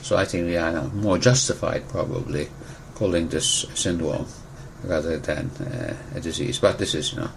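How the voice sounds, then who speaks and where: A man explains medical matters in measured, careful sentences. He often stops to correct and rephrase himself for precision, and a faint hiss sits under his words. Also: sits low, vocal fry, poor audio. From Turkey. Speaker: physician, disease expert. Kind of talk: explanatory medical interview answers.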